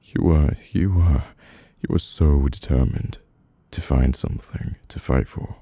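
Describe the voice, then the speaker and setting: A man with a British accent playing a brooding, domineering, burdened mafia boss in an intimate, halting ASMR audio roleplay. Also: mellow, no echo, studio quality, exaggerated voice. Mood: stern, reflective. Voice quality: very deep, gravelly. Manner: slow, halting, weary menace.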